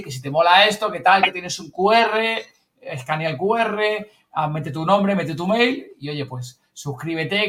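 A man's voice: fairly high pitch, a bit bright. A man from Spain with a Spanish accent, chatting casually and colloquially as a podcast host, rambling as he goes. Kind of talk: casual chat recounting events.

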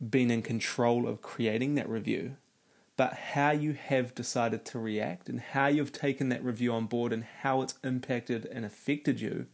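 A man hosting a solo self-help podcast, talking in an earnest, motivational way while raspy and nasal from a cold. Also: mid-range voice. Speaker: podcast host.

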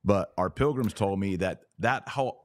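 A man's voice: low-pitched, a little slow, dry recording, studio quality. A man hosting a podcast in casual, conversational commentary with a blunt, opinionated way of talking. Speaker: podcast host.

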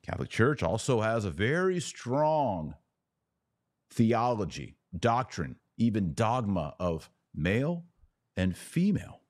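A man's voice: on the low side, sing-song, slow, darkish tone, dry recording. An American man hosting a podcast, giving emphatic political and religious commentary and explaining point by point. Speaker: podcast host.

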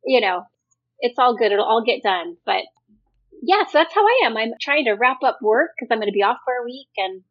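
High-voiced woman, slightly muffled recording. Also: lively intonation, a little fast. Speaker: podcast co-host. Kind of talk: casual chat.

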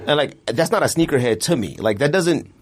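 A man's voice: a little high, fairly quick, husky. A man in his late thirties hosting a casual podcast, talking in an opinionated, slangy, emphatic way. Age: late 30s. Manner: animated, emphatic ranting with slangy address. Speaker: podcast host.

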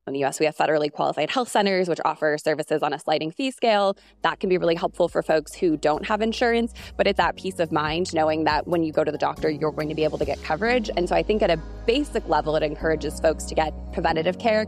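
A woman panelist speaking deliberately and explaining step by step, often checking in with "right?". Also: low voice, soft background music. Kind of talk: panel discussion answer.

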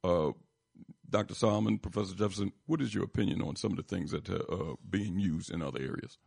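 Guy hosting an interview, really deep voice, dry close sound, feels his way through questions. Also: quick pace, vocal fry, a little mellow, breathy edge, no room sound. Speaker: talk show host.

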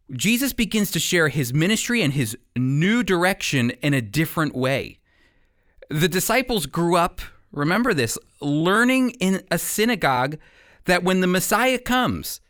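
An American man hosting and teaching the Bible on radio in a prepared, deliberate and emphatic storytelling delivery, close on a studio microphone. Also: a little high, a bit bright, dry recording.

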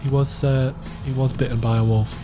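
A man's voice: mid-range voice, flat delivery, moderate pace, music in the background, natural voice. A man playing a passive-aggressive hairdresser in an improvised comedy audio play, needling and pushy, repeating muttered reassurances. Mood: sly, insistent.

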